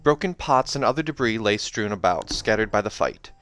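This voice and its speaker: A man's voice: on the low side, lively intonation, a little fast, a bit bright. A man hosting a read-aloud, narrating a fantasy novel and dropping in casual asides. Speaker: host reading a novel aloud. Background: background music.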